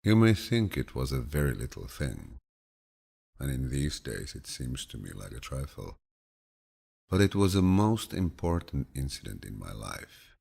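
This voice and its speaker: Very deep, gravelly male audiobook narrator. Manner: calm, grandfatherly, measured storytelling. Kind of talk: scripted audiobook reading of quotations.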